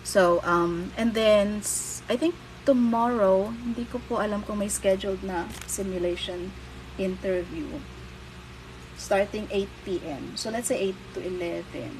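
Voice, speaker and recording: A woman's voice: mid-range voice, unhurried, noisy background. A woman in her thirties from the Philippines with a Filipino accent, hosting a casual livestream and giving blunt, candid career advice with the odd casual swear. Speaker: livestream host and career coach.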